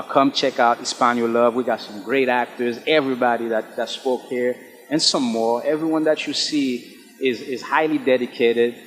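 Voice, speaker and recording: A man from Haiti, a native Creole speaker, giving earnest, halting interview answers as a stage actor. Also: medium pitch, in a large hall.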